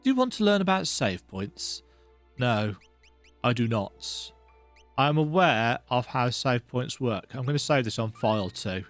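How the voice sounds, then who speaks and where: Male let's play host: casual, conversational and rambling, with animated pitch movement over light background music. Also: measured pace. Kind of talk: casual game commentary.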